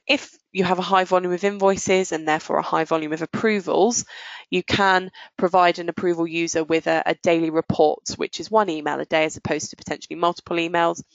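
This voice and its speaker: A woman presenting a software demo and answering questions as a webinar host, explaining things in business-like phrasing. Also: lowish voice, gravelly, dry recording, muffled recording.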